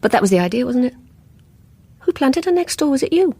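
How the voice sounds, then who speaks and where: A woman's voice: high-pitched, big pitch swings, a little fast, warm tone, slightly rough, dry recording, exaggerated voice. An actress playing a haunted, bitter, unstable woman in a radio play, with a brooding, intense delivery. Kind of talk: radio play acting, dramatic monologue.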